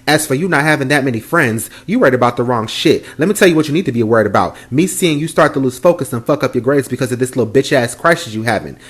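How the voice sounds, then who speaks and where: A man's voice: mid-range voice, expressive, fast, few pauses, fairly bright tone, slightly rough. A man playing a blunt, petty, profane mother. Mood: lecturing. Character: stern foul-mouthed mother and grandmother, petty. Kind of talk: comedy skit acting. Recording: roomy echo.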